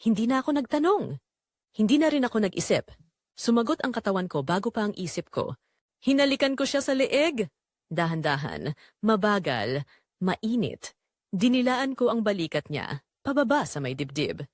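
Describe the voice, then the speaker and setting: A woman narrates a scripted drama in the first person. Her mid-pitched voice moves through wide, expressive intonation. She phrases in a measured, dramatic way with short pauses, and the recording is dry with no room sound. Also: no room sound.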